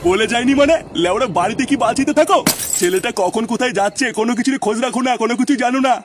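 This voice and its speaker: A man voicing a grumpy, nagging middle-aged husband and father in a Bengali cartoon comedy, complaining crudely in a husky voice. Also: extremely high pitch, rapid-fire. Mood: grumpy, accusing.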